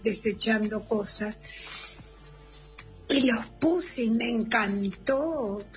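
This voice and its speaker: Old argentine lady in her 80s, slow chatty talker, sweet message to a radio show, bit of music under. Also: fairly high pitch. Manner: unhurried, chatty, affectionate. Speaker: radio listener leaving a voice message. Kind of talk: casual personal message.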